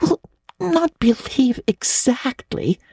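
An imaginative young girl from a classic storybook, pitched high and swinging widely. She is excitable and easily frightened, speaking with breathless, dramatic earnestness in a soft-edged tone. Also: slow pace, creaky, husky, no room sound, heavily acted. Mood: hushed, faltering.

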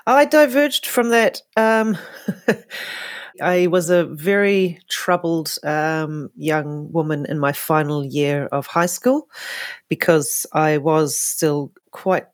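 A woman, a guest answering interview questions thoughtfully, with frequent pauses and restarts. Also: deep voice, no room sound, clean studio sound. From New Zealand. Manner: thoughtful, many restarts and fillers. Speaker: guest, author and poet. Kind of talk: hesitant conversational interview.

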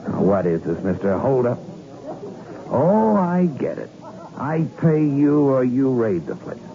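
An actor playing a wary, wheedling bartender with slangy speech in a 1960s radio drama. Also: male voice, medium pitch, big pitch swings, unhurried. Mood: defensive. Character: wary, wheedling male bartender, grudgingly compliant. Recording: dull old radio, crowd behind.

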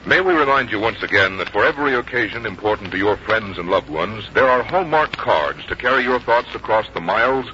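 A man's voice: fairly low pitch, pushed and bright, a little hiss or hum. An American man announcing and reading sponsor messages in a polished 1950s radio style. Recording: muffled old radio broadcast. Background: music.

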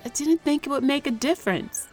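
A woman's voice: sits high, brisk, soft background music, close to an everyday voice. A woman playing an eager, defensive woman caught meddling in a scripted drama.

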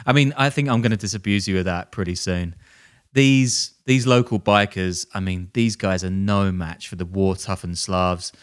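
A male podcast host with a smooth, clear, fairly low voice. He spins a crime story in loose, casual chat, cracking jokes at his own expense and laughing along the way. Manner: jokey, self-deprecating, laughs while telling.

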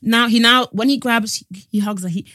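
Dry-voiced woman in her twenties, animated. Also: a little high, fairly quick, no echo. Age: 20s. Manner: animated storyteller, exasperated asides. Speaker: podcast co-host. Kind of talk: casual chat.

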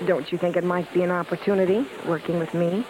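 An actress playing a confident, brisk female vaudeville star in a 1950s radio drama, on an old broadcast recording. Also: lowish voice, fairly even intonation, a crowd in the background, close to an everyday voice, low-quality recording. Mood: businesslike, assured.

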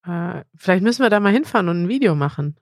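A woman's voice: lowish voice, animated, quick pace, no echo, clean studio sound. A woman, chatting informally as a podcast co-host. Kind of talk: casual conversational podcast chat.

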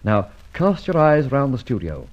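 A man playing a suave, wry and commanding gentleman adventurer in a vintage radio adventure serial. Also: mid-range voice, very animated, measured pace. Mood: brisk reasoning. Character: suave, self-assured gentleman adventurer and amateur sleuth. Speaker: narrator and lead actor. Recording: dull old radio recording, music behind.

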